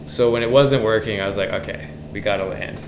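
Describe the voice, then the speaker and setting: A man's voice: lowish voice, fairly quick. A man, a pilot and vlog host, talking casually and candidly in a self-critical debrief. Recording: echoey room, clear background noise.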